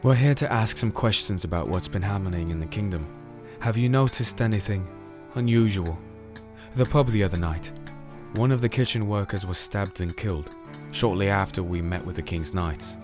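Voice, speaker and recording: A man playing a calm, firm crusader in a fantasy audio drama, narrating and speaking in a measured, solemn way. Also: deep voice, over music, natural voice. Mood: calm, probing. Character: disenchanted male crusader, calm, firm sword-bearing investigator. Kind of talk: audio drama dialogue and first-person narration.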